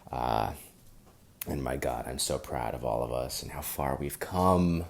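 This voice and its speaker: A man chatting casually on a podcast, halting and self-deprecating as he talks. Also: extremely low pitch, vocal fry. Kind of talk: casual chat.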